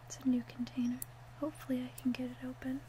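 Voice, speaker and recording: Hushed, high-voiced woman playing a witch. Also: little pitch movement, a little hiss or hum, poor audio. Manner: slow, gentle, hushed, deliberate delivery. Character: calm, helpful female witch selling love potions. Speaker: ASMR roleplayer. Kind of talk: ASMR roleplay, soft-spoken and whispered.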